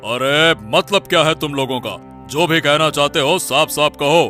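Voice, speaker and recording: A man's voice: a little high, moderate pace, sharp tone. A man voicing an authoritative cartoon king who questions and gives orders. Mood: demanding. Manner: commanding, questioning. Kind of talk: animated Hindi cartoon story acting. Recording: music under the voice.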